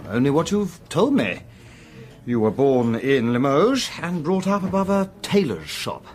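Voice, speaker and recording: An actor playing a loyal, long-suffering gentleman companion in a period radio drama, genial, polite and gently dry. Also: on the high side, sing-song, a little slow, soft background music. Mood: wryly affectionate.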